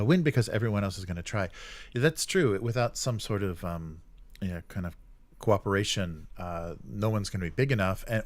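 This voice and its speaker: A man hosting a tabletop gaming livestream, chatting casually and reading out viewer comments in a friendly, informal, halting way. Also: on the low side, a little mellow, dry recording.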